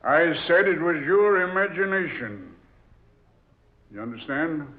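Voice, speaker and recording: An actor playing a stern, commanding ship captain who gives gruff orders, in 1940s radio acting style. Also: male voice, on the high side, animated, a little slow. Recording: tinny old radio recording.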